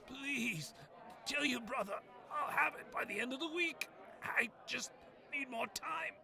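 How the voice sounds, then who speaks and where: A man's voice: extremely high pitch, a little slow, vocal fry, bright, forceful tone, a faint crowd, exaggerated voice. A man playing a folksy, hesitant old diner owner in an audio drama. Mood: nervous pleading.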